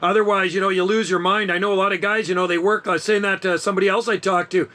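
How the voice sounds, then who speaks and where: A man's voice: high voice, steady tone, quick pace, pushed and bright. A man in his sixties chatting in an upbeat way on an amateur radio link, sharing stories about retirement life. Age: 60s. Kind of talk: casual radio chat.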